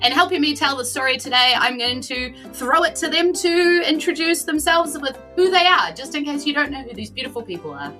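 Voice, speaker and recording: A woman with a New Zealand accent and a very bright, forward voice works as game master for a roleplaying show. She speaks as host and storyteller, with music playing under her voice. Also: high-pitched, expressive, fairly quick, few pauses. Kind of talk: dramatic scripted narration and casual hosting of a tabletop roleplaying podcast.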